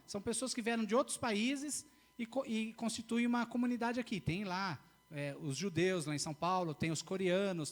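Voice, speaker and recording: A man with a high voice preaches in a church. He speaks earnestly and repeats his key phrases for emphasis, often breaking off to restart a sentence. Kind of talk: sermon.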